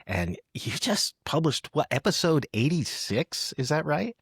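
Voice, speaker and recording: A man hosting a poetry podcast, talking and reading in a reflective, halting conversational way. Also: a little high, very animated, vocal fry, fairly bright tone, husky, dry recording, clean studio sound. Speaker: podcast host. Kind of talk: conversational poetry interview with read-aloud poems.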